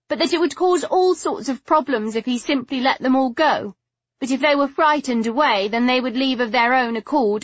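A woman narrator plays a stubborn young girl in an audiobook, pitched very high and full of tearful indignation. The voice insists and complains, in a muffled recording. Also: no echo, lightly acted. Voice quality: high, breaking voice. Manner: insistent, aggrieved.

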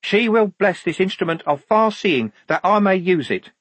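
A man's voice: high-pitched, animated, normal speed, a bit bright, slightly rough, no echo, voice pushed up, exaggerated voice. A man voicing a small, fervently devout young woman soldier in an audiobook, solemn and otherworldly in her certainty.

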